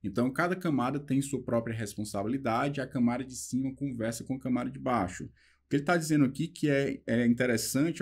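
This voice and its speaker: A young man with a Brazilian Portuguese accent explaining software design in a relaxed lesson, using fillers and pausing to translate a book. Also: medium pitch, breathy edge. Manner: talks loosely with fillers, slows down reading English passages. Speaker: programming instructor.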